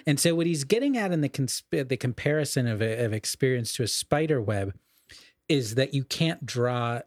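A man in a conversational podcast discussion of literature, explaining in an erudite way and halting mid-phrase as he works out his points. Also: medium pitch, lively intonation, dry recording, studio quality.

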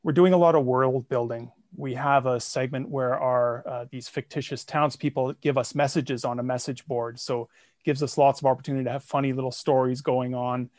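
A man guesting on a podcast, telling the story of his projects in a lively, self-mocking way. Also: medium pitch, a little fast, no room sound, clean studio sound. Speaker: guest, comedy podcaster and songwriter. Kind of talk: casual interview.

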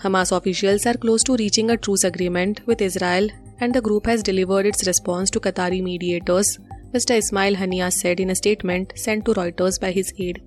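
A woman's voice: medium pitch, fairly even intonation, a little fast, a little mellow. A woman with an Indian accent presenting a scripted news bulletin. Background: music.

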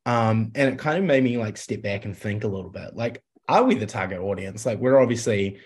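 A New Zealand man talks casually as a panelist on a sports podcast. His pitch is on the low side, and his delivery is informal and conversational. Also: a little fast, darkish tone.

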